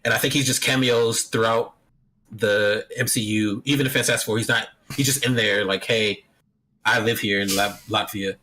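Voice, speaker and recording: Playful man with a bright voice. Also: fairly low pitch, fairly even intonation, moderate pace, a little hiss or hum, rough recording. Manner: playful, laughs easily, confident in his predictions. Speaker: podcast co-host. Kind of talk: casual chat.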